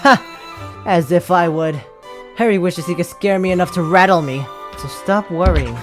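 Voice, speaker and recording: A woman playing a cocky, commanding retired judge of the underworld in an animated drama, switching between solemn and brashly sarcastic. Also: fairly low pitch, normal speed, lightly acted. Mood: amused, casual. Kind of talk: dramatic animated-story voice acting. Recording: music behind the voice.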